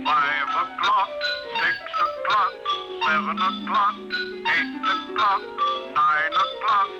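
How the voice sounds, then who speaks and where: A mechanical household clock in a vintage radio drama. The voice is raised and unhurried, announcing in a measured sing-song. Loud music sits behind it on an old radio recording. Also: male voice, high voice, muffled recording. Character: automated house clock calling out the hours. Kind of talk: 1950s radio drama acting.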